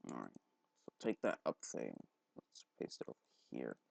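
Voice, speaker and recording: A man hosting a casual, unscripted screen tutorial, haltingly repeating words and muttering to himself, recorded on a headphone mic at his neck. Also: a bass voice, very animated, gravelly, no room sound. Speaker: tutorial host. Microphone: headphone mic held at the neck.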